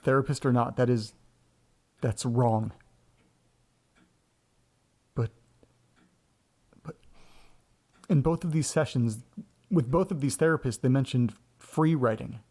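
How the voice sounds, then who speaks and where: A man's voice: medium pitch, takes it slowly, long pauses, a little mellow, breathy edge. An actor playing a disturbed, indignant man in an audio drama, muttering incredulously and sardonically to himself. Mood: pondering. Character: disturbed, indignant grandson listening to old tapes.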